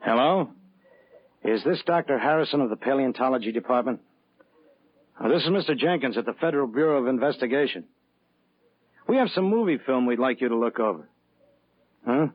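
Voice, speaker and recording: Official FBI agent, dull vintage sound. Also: male voice, medium pitch, expressive, fairly quick, pauses often, slightly rough. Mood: businesslike, earnest. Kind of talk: 1950s radio drama acting. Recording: dull lo-fi vintage radio recording.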